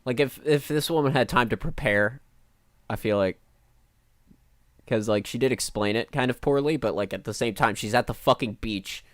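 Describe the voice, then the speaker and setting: A man's voice: medium pitch, moderate pace, dry recording, studio quality. A man with a nasal voice giving blunt, sarcastic and profane live reaction commentary as a streamer.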